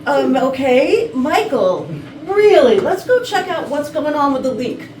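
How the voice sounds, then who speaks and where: Woman playing wary loyal assistant, lively highish voice, staged reading, distant mic, noisy room. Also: a little slow, echoey room. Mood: urgent, exasperated. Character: dutiful, wary female assistant to a company boss. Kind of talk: staged reading of a murder mystery comedy. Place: rehearsal room.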